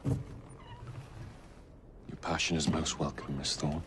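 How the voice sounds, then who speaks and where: An actor playing a cold, commanding Victorian Templar leader in a video game, speaking formally and grandly with a British accent. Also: male voice, rumbling low, flat delivery, slow pace, very noisy. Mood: grave restraint. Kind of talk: dramatic game cutscene acting.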